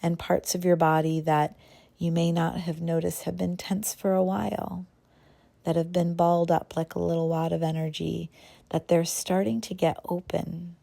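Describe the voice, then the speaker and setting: A woman hosts a guided visualization in a low-set, mellow voice with plenty of creak at the edges. She moves gently through short phrases, leaving pauses between them. The delivery is that of soothing meditation narration. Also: level tone.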